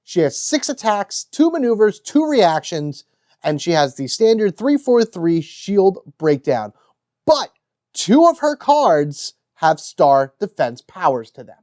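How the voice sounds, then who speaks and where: A man's voice: high-pitched, very animated, slow, a little mellow, dry recording, clean studio sound. Congested nasal man reviewing a card game. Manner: enthusiastic, chatty, reads card text aloud. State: congested with a cold. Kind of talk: casual card-by-card game breakdown.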